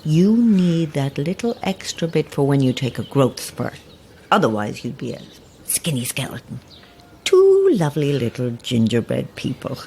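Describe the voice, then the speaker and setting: A woman's voice: rumbling low, wildly swooping pitch, moderate pace, dark tone, slight background noise, heavily acted. An actress playing a sweet, cooing elderly woman neighbour with a faintly sinister undertone in a naturalistic audio horror drama. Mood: doting, coaxing. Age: older. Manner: cooing, coaxing, grandmotherly. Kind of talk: naturalistic immersive radio drama acting.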